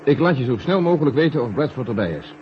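An actor playing an authoritative, deliberate space agency general in a 1970 Dutch radio drama. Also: male voice, mid-range voice, very animated, natural voice. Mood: decisive. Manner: deliberate, commanding. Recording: dull, hissy 1970 radio recording.

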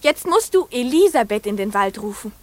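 High, dreamy little girl. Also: big pitch swings, normal speed, no room sound. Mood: eager, frightened. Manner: pleading, wistful. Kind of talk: radio play acting.

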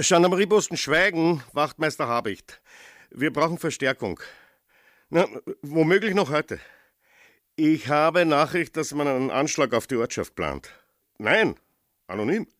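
An actor playing a brusque, officious rural police sergeant in a radio play, speaking in clipped official phrases. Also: male voice, on the high side, fairly bright tone, no room sound, studio quality.

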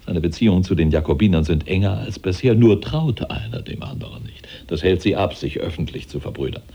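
A man acting in a German radio play as an embittered, suspicious head of a revolutionary government, formal, aggrieved and dramatic, with a husky, dark voice. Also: low-pitched, fairly quick, a little hiss or hum. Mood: bitter, anxious.

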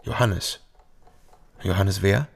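Low-voiced, sober male detective. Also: slow pace, creaky, husky, heavily acted. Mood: probing. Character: male East Berlin private detective, ex-policeman, sober and probing. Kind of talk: German radio crime drama, narration and dialogue.

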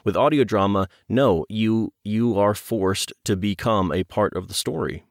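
A man's voice: on the low side, a little slow, no room sound, clean studio sound. A man speaking as an interview guest and audio producer, conversational, earnest and reflective in manner.

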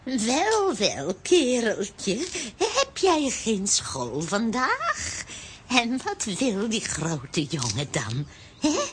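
A doting, very old lady in a children's radio play. Her rather high voice swings widely as she coos baby talk at a slow pace. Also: huge pitch swings, a little hiss or hum, exaggerated voice. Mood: cooing, doting.

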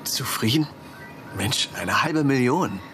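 An actor in a radio drama playing an excitable start-up partner who speaks in a colloquial, exclamatory way. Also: male voice, mid-range voice, slow, a little hiss or hum. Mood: excited. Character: excitable, casual male tech start-up partner.

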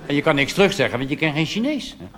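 A Dutch man doing a cabaret monologue on stage, delivering deadpan punchlines and jumping into animated put-on voices. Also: fairly high pitch, racing, a crowd in the background. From Netherlands. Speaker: cabaret comedian. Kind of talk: stand-up monologue. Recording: reverberant hall with audience noise.

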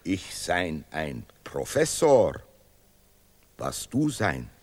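A self-important professor in an experimental radio play, declaiming in broken German. His pitch leaps extravagantly and his delivery is slow and repetitive. The voice rings in a long, hall-like reverb. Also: huge pitch swings, full character voice. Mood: boastful. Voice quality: deliberately broken, ungrammatical German. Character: pompous self-praising history professor and Nobel laureate. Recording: reverberant hall-like studio sound.

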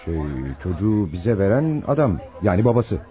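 A man's voice: on the low side, animated, normal speed, mellow, close to an everyday voice. An actor playing a polite, anxious would-be adoptive father in a radio drama, hesitant and deferential.

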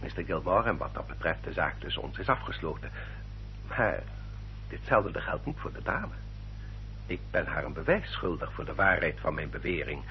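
A male actor in a Dutch radio play, playing a courteous, controlling English baronet who speaks formally and insistently. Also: a bass voice. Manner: formal, suave, measured and insistent. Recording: dull, noisy old radio drama recording.

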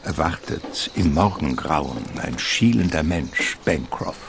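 A man narrating a radio drama in a measured, atmospheric, literary manner. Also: very deep voice, animated, vocal fry, very noisy.

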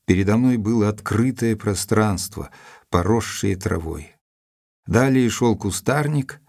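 A man's voice: lowish voice, darkish tone, dry recording, studio quality. A man narrating an audio drama in the first person, reading scripted literary prose. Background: faint music.

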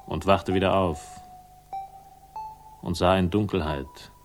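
An actor playing a haunted, weary man who narrates his own crime story in slow, brooding, clipped phrases for a radio drama. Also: low voice, fairly even intonation. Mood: dark, uneasy. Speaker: first-person narrator. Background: music.

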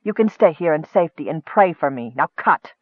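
A fierce young noblewoman from a fantasy storybook, acted out by a man, high in pitch and imperious. She gives orders with a bitter, cutting edge. The sound is close and dry but narrow, like a radio. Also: fast, no echo, close to an everyday voice. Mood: calm, bitter. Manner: commanding, cutting, sarcastic.

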